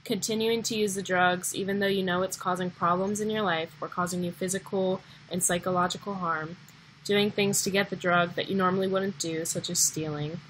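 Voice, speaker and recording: A young American woman narrates a documentary from a script and puts questions to interviewees. Her voice sits a little low, with some noise behind it. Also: fairly even intonation, brisk, a bit bright, rough recording. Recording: noticeable background noise.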